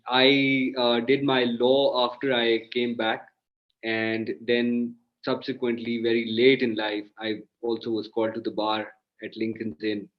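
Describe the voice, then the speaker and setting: A man's voice: medium pitch, muffled recording. A man from Pakistan, a lawyer and author, answering interview questions at length in an articulate, explaining way.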